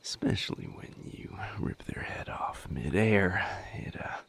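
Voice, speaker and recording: A man playing a wry, protective healer who fights vampires in an intimate audio roleplay, speaking haltingly in a breathy, gravelly low voice. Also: expressive, a bit bright, in a whisper, exaggerated voice. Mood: amused, admiring.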